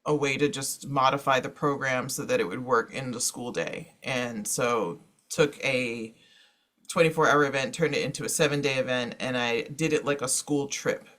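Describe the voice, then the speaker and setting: A man presenting informally to an online panel as a moderator, explaining things in a halting, conversational way. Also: mid-range voice, steady tone, a bit bright. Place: online panel, speaking over slides.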